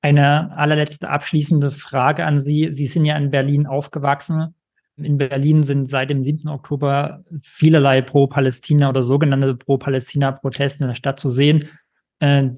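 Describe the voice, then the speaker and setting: A male reporter asking interview questions in a measured, formal way. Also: medium pitch, flat delivery, darkish tone.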